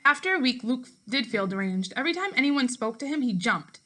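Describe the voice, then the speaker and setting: A woman teacher reading a story aloud to children, clearly and expressively, speaking directly to her pupils. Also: fairly high pitch, big pitch swings, a little fast, sharp tone. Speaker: teacher reading a book aloud to fifth graders.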